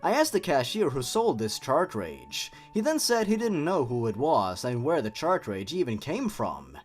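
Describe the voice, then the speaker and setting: A man's voice: mid-range voice, wildly swooping pitch, moderate pace. A young man hosting a review show in scripted commentary, with theatrical, sardonic emphasis. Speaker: host of a horror story review channel. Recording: music behind the voice. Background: background music.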